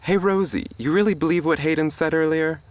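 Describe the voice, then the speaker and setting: A man's voice: fairly high pitch, a little fast, slight background noise, natural voice. A man with an American accent acting an easygoing, slightly dim stakeout sidekick in a radio drama. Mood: casual, goofy.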